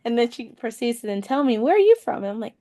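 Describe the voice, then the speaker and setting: A young woman from Mexico co-hosting a podcast, telling stories in a lively, laughing way. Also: a little high, quick pace. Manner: lively storyteller, laughs often. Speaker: podcast co-host, counselor. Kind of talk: casual podcast chat.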